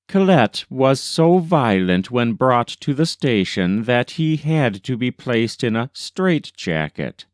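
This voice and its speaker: A man's voice: medium pitch, clean tone, no room sound, clean studio sound. A man reading an old newspaper story aloud as scripted narration. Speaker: volunteer reader.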